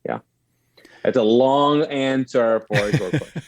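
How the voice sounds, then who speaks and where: A man's voice: medium pitch, a little slow, no room sound. A middle-aged man from Kuwait, a podcast guest telling personal stories conversationally, with asides and self-corrections.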